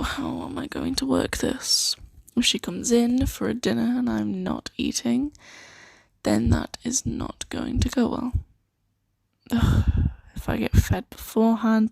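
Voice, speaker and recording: A woman improvising an ASMR roleplay as a kind, shy, flustered boss of a secret sanctuary, speaking softly and hesitantly with giggles. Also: on the high side, vocal fry, breathy edge, no echo, full character voice. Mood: anxious, exasperated. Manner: hesitant, giggly, soft-spoken.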